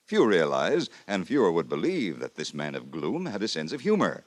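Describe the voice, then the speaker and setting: A male announcer introduces an old-time radio drama in a low voice. His delivery is formal and measured, with lively, dramatic swings in pitch.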